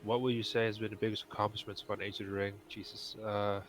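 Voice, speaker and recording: A man's voice: fairly low pitch, level tone, fast talker. A man of thirty chatting easily on a live stream and reading out viewer questions. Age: 30s. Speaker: mod developer reading chat questions. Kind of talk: casual live stream chat.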